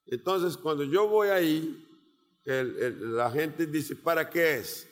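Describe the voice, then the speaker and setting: Pastor, male, Portuguese accent, slow and deliberate, higher voice, long hall reverb. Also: fairly bright tone. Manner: slow, emphatic preaching with repeated phrases. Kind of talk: sermon.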